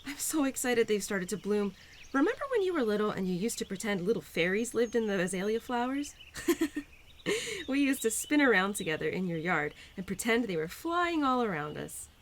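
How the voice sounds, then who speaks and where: A woman voicing a cheerful, playful young spirit of Spring in an audio roleplay, gentle and affectionate with a giggly, easily flustered manner. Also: mid-range voice, big pitch swings, a little fast, slight background noise, lightly acted. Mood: excited, playful. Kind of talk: audio roleplay addressed to the listener.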